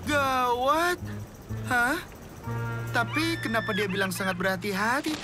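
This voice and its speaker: A woman voicing an excitable, curious young boy in an animated children's comedy. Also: a little high, a little slow, close to an everyday voice. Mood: panicked. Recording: loud music behind the voice.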